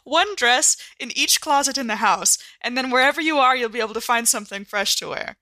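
A woman's voice: a little high, brisk, pushed and bright, dry recording, pitched above the performer's own voice. A woman voicing a genteel, playful, theatrical lady in an improvised tabletop game, with a proper, wry, musing manner.

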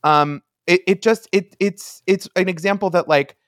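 A man's voice: high voice, moderate pace, a bit bright, no echo, clean studio sound. A man in his thirties co-hosting a podcast, speaking effusively and emphatically in a casual fan discussion. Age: 30s. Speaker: podcast host.

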